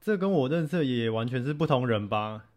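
A young man co-hosting a casual chat, answering calmly and briefly. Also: medium pitch, quick pace. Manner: calm, brief replies. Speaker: co-host, nephew.

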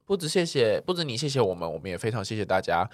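A talkative, expressive man co-hosting a podcast, chatting casually as he replies to listener comments. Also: on the low side, racing, dry recording, clean studio sound. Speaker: podcast co-host.

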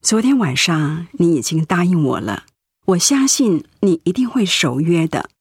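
Husky, gentle ailing mother. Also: lowish voice, very animated, moderate pace, no echo, clean studio sound, exaggerated voice. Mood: consoling. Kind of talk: Mandarin radio drama acting.

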